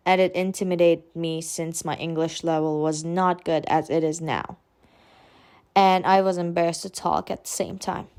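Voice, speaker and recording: A woman's voice: fairly low pitch, a little mellow, clear, resonant tone. Young woman, twenties, turkish accent, earnest and careful, personal vlog narration. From Turkey. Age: 20s. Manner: earnest and careful, with some hesitant fillers. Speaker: student vlogger.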